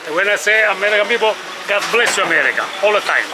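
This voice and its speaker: An older man from Sicily chats in lively, broken English with a Sicilian accent. His voice sits high for a man. Loud outdoor street noise fills the background. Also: rapid-fire. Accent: Sicilian Italian. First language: Italian. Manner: playful, storytelling, broken English. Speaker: local resident. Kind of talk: casual street chat. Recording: noisy street recording.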